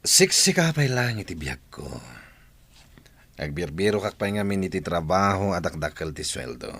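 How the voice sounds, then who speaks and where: A man's voice: low-pitched, sing-song, moderate pace, a bit bright, breathy edge, exaggerated voice. A man playing a sweet-talking, mercenary adulterous lover in a radio drama, wheedling as he talks.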